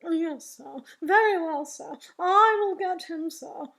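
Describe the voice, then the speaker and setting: Woman doing a flustered dad, self-important, loud, emphatic, high voice, children's story. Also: slow pace, exaggerated voice. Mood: flustered, deferential. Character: self-important, blustering, easily flustered father.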